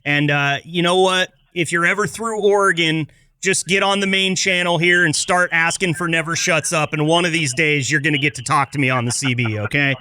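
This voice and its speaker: A man's voice: on the high side, fairly even intonation, fairly quick, bright, forceful tone, clean tone, no echo. An American man hosting a casual podcast interview in a chatty, informal and affirming way. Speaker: podcast host.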